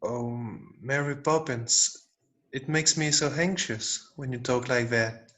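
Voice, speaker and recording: A man's voice: mid-range voice, takes it slowly, sharp tone. A man playing an earnest, affectionate young boy in an amateur script read-through. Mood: anxious, affectionate.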